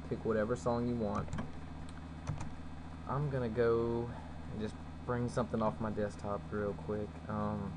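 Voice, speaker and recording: A man giving a casual, folksy software tutorial as its host. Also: on the low side. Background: background noise.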